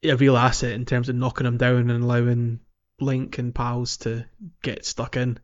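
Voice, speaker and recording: A man's voice: medium pitch, completely flat delivery, moderate pace, muffled recording. A man hosting a podcast, chatting casually about gaming in a jokey way, often chuckling as he talks. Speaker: podcast host.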